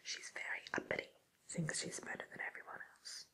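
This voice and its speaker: A woman playing a gossipy, mildly menacing witch in a whispered ASMR roleplay, speaking in a breathy, hesitant, musing way. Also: brisk, lightly acted. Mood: gossipy, disdainful. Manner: hesitant, musing, softly sly.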